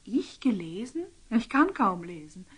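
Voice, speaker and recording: A woman's voice: mid-range voice, very animated, unhurried, a bit bright, breathy edge, heavily acted. An actress playing a shy, artless, modest young girl in a classical radio drama. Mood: shy, surprised.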